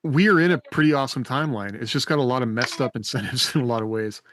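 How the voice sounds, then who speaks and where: A man's voice: medium pitch, quick pace, a bit bright, slight background noise. A man in casual podcast discussion, tentative and hedging as he thinks aloud as a co-host.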